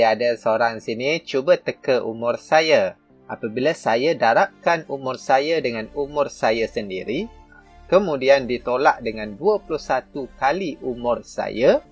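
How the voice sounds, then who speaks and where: A man's voice: mid-range voice, husky. A man with a Malaysian Malay accent teaching maths, working through textbook examples step by step with fillers and self-corrections. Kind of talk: explaining a textbook lesson.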